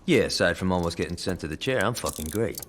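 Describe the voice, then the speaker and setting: Brief: brash, profane gang lieutenant, low-ish voice that swings up and down, cocky game cutscene delivery. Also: male voice, expressive, fast, noisy background. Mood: wisecracking. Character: brash foul-mouthed male gang lieutenant. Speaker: game character.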